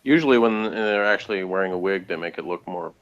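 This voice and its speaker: A man with a fairly low voice chats casually on a slightly muffled, lo-fi recording. He rambles informally and hesitates as he gives his opinions as a co-host of a review show. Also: quick pace.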